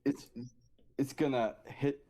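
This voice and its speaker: A man chatting casually as a livestream guest, speaking in brief, halting phrases. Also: mid-range voice, steady tone, mellow. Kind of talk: casual chat.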